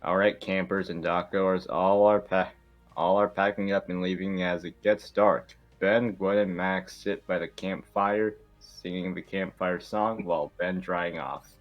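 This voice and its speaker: Really deep voiced guy reading stage directions, informal amateur narration, faint music under it. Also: steady tone, measured pace, dull audio.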